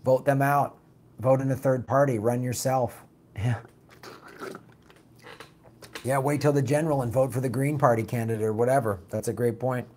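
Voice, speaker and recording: A raspy man hosting a political talk show, reading viewer comments and answering them bluntly in a casual, animated way. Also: medium pitch, normal speed, pauses often, slight background noise. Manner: blunt, animated, mocks opponents with put-on quotes.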